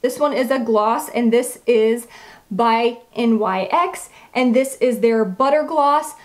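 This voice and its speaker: A woman's voice: a little high, takes it slowly. A woman chatting casually as a beauty vlogger, gushing and emphatic, with a slightly froggy voice. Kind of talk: casual chatty product review to camera.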